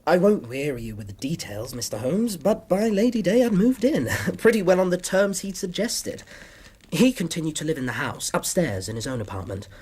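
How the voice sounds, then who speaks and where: A young English doctor in period radio drama, modest and courteous. The voice sits a little high and is slightly husky. He speaks precisely but hesitantly, with lively rises and falls. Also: normal speed. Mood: measured narration. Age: young adult. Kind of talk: period radio drama acting, narrating his story.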